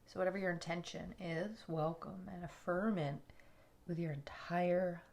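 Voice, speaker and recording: Lady with a low voice doing guided yoga nidra, soothing and unhurried, goes soft and breathy as phrases end. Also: fairly even intonation. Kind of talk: guided relaxation meditation.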